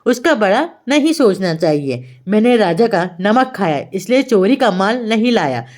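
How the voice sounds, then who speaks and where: Woman as earnest teenage boy thief, lively pitch. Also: moderate pace, close to an everyday voice. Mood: earnest, explaining. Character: honest, earnest young male thief, aged sixteen.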